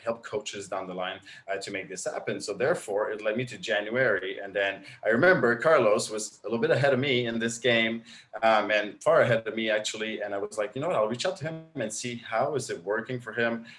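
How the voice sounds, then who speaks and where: A man's voice: on the low side, a bit bright. French Canadian man telling his story. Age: 30s. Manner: earnest, storytelling, many filler uhs. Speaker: guest coach. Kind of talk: casual live video-call interview. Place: indoors at home, bright room. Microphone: laptop webcam video call.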